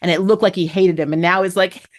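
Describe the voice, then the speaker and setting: A woman's voice: fairly low pitch, steady tone, fast. A woman hosting a fan review, casually recapping a TV show with chatty, slangy, gushing enthusiasm and plenty of opinion. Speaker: host of a fan TV review channel.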